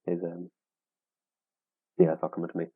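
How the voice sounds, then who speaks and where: Deep flat-voiced young Irish man on a tinny call. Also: moderate pace, slightly rough, dry recording. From Wexford, Ireland. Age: young adult. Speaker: guest, field name collector. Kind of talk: casual conversation. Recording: tinny phone-like call audio.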